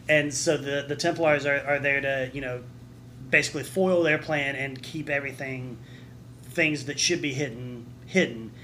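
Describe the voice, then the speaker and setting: A man explaining things casually in a group discussion, halting and often repeating his words as he goes. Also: mid-range voice, bright, forceful tone, a little hiss or hum. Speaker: podcast co-host.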